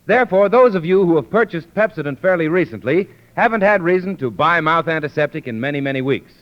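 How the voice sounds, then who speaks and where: A man announcing a scripted 1930s radio commercial as a polished sales pitch. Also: lively intonation, fast talker. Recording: dull 1930s radio recording.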